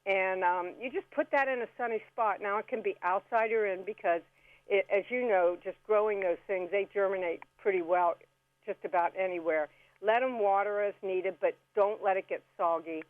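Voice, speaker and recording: A woman's voice: mid-range voice, normal speed, no echo. A woman giving casual, chatty step-by-step instructions as a radio guest, in a folksy way. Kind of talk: casual step-by-step how-to chat. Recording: band-limited phone-like radio call.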